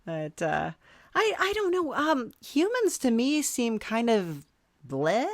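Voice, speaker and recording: A woman's voice: on the high side, very animated, takes it slowly, no echo, clean studio sound. A woman hosting a podcast, chatting casually and playfully, with a hesitant, indulgent manner. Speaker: podcast host.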